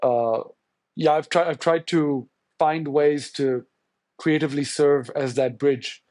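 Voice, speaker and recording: A man's voice: fairly high pitch, steady tone, slow, a little mellow, dry recording. A man from India whose first language is Tamil, a journalist answering interview questions in a thoughtful, searching way with many restarts. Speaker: journalist guest.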